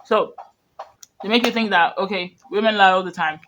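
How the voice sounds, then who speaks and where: High-voiced man. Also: moderate pace, sharp tone, lo-fi sound, a little hiss or hum. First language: Yoruba. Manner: rambling, earnest, full of "you know" and restarts. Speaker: media commentator. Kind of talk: reaction commentary on video clips.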